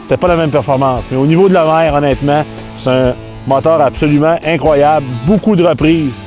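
A man's voice: a little high, brisk, a little mellow, faint music behind. Upbeat Québécois man reviewing snowmobiles. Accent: Québécois French. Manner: enthusiastic, chatty, with small restarts. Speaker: snowmobile test host. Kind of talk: casual review and interview.

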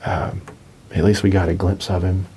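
Easygoing young male hunting host, deep mellow voice, flat steady delivery, faint hiss behind. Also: moderate pace. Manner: easygoing, plainspoken, talks his plan through. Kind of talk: casual on-camera hunting talk. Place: inside a small hunting blind.

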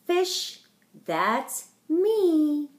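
A middle-aged woman reading a picture book aloud to young children in a theatrical, deliberate way, as a storytime host. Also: sits high, sing-song. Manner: theatrical, slow and deliberate, addressing small children. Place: indoor wood-panelled living room. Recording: roomy, echoing home recording.

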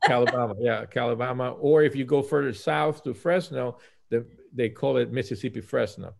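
A man in his fifties from Puerto Rico with a Puerto Rican accent, a native Spanish speaker, talking conversationally as a guest pastor on a video call, halting and correcting himself. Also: medium pitch, gravelly. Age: 50s. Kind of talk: conversational personal account. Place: small room at home, indoors.

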